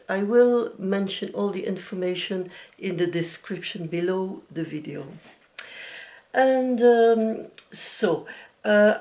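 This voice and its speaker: Soft-spoken woman, slow and hesitant. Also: mid-range voice. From Belgium. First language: Dutch. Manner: gentle, hesitant, many fillers and small restarts, a little nervous. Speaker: knitting podcast host. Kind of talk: casual video podcast chat. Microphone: camera mic.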